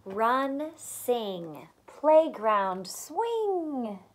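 A young adult woman, a teacher, reading a picture book aloud to small children with expressive delivery and simple chatty questions. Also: a little high, very slow. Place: small furnished room at home, indoors.

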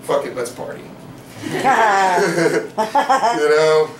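Young male spoken word poet, high, rhythmic rap delivery, caught by a far camera in an echoey room with faint hiss. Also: steady tone, slow pace. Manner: rhythmic rapped verses between soft, rambling chat. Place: living room of a house, small audience on couches. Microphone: far camera, no mic.

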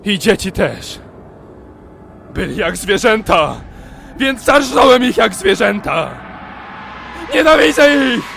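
A man's voice: high voice, big pitch swings, takes it slowly, vocal fry, pauses often, fairly bright tone, slight background noise, exaggerated voice. A man playing a brooding, lovesick, hot-tempered young warrior in a melodramatic fan parody dub. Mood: angry confession.

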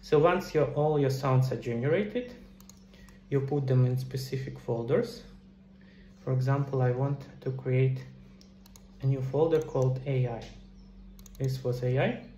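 Middle aged guy doing a casual screen tutorial, soft dark tone, bit of room echo. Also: medium pitch, unhurried, pauses often, faint music behind. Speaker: game developer giving a tutorial. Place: small indoor room at a computer. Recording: roomy echo, faint background noise.

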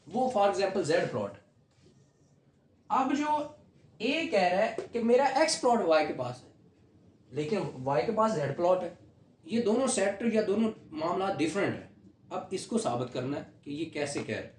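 A man's voice: high-pitched, big pitch swings, normal speed, slight background noise. A man with a Pakistani accent giving a law lecture, explaining step by step, repeating key points and mixing in English legal terms. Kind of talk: classroom lecture with questions and explanations. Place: small room at a whiteboard, indoors. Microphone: camera a few steps away. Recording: roomy echoing indoor recording.

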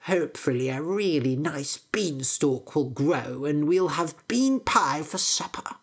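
A woman voicing a silly, pushy old mother who is excitable and orders her son about in a shrill, bossy way. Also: takes it slowly, husky, full character voice.

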